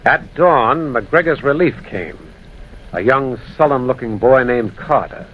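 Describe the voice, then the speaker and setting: An actor playing a grave man recounting a ghostly encounter, tense, deliberate and dramatic, in an old-time radio drama. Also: mid-range voice, lively intonation, lightly acted. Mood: grave, suspenseful. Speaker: narrator and protagonist. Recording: muffled, hissy old radio recording.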